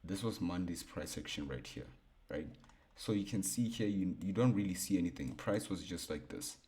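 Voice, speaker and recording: Young male trading tutor, very low baritone, relaxed, thinks aloud at a desk mic. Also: normal speed. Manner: laid-back, repeats himself, thinks aloud. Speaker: forex trading educator. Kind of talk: casual screen-share chart breakdown.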